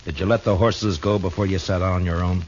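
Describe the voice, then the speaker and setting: An American actor playing a stern, steady frontier marshal, laconic and plain-spoken, in a 1950s radio western. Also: male voice, low voice, quick pace, slight background noise, close to an everyday voice. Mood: businesslike. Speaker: lead character and narrator. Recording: muffled old radio recording.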